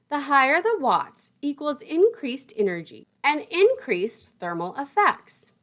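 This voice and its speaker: A woman's voice: sits high, a bit bright. A woman lecturer reading scripted instructional material in a methodical, didactic way, setting out terms and lists one by one.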